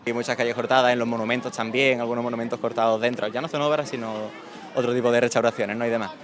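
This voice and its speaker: Quick, hesitant man in a street interview. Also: medium pitch, flat delivery, a crowd in the background. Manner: hesitant, repeating words. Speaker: passer-by. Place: outdoors in a city street.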